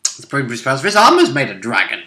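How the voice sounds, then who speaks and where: Echoey, bright-voiced man chatting. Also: medium pitch, huge pitch swings, fast talker. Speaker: co-host. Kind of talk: casual chat. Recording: roomy, echoey sound.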